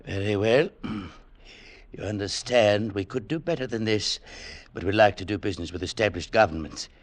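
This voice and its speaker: An actor playing a stern, haughty lord in a 1940s radio drama, formal, cautious and clipped. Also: male voice, fairly low pitch, normal speed, lightly acted. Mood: businesslike, wary. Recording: 1940s radio broadcast recording.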